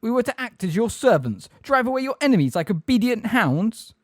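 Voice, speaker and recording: A man's voice: medium pitch, sing-song, fairly quick, darkish tone, no room sound, studio quality, natural voice. Man as a scornful, hard grey centaur. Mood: scornful, angry. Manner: bellowing, scornful. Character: hard-faced grey male centaur, bitter, scornful and angry. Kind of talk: audiobook character acting.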